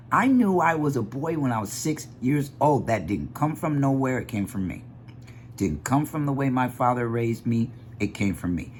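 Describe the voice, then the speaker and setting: A man with a deep bass voice giving an animated, opinionated vlog monologue that drifts off on tangents. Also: slight background noise. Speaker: vlogger.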